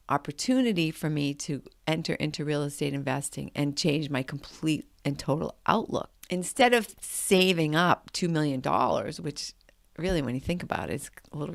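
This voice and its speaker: A woman's voice: sits low, gravelly, dry recording, clean studio sound. A woman in her late fifties hosting a podcast, talking in a chatty, conversational way in a motivational monologue. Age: 50s. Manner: chatty and conversational, with frequent "like" and "I mean". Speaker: podcast host.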